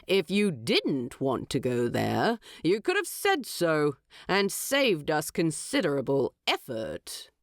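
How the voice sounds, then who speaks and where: Lady doing a pompous eagle king, vain n wry, swoopy pitch, slow regal talk, storybook style. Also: huge pitch swings, no room sound, studio quality, heavily acted. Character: haughty, vain king of giant eagles, male. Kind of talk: audiobook storytelling.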